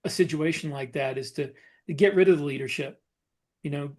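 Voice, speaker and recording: A man co-hosting a podcast, explaining his points conversationally in short, deliberate phrases. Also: fairly high pitch. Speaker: podcast co-host and novelist. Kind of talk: casual explanatory discussion.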